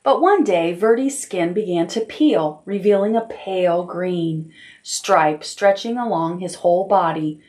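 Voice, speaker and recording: A woman's voice: fairly low pitch, measured pace, darkish tone. A woman narrating a children's picture book expressively, as a storytime reader at a library.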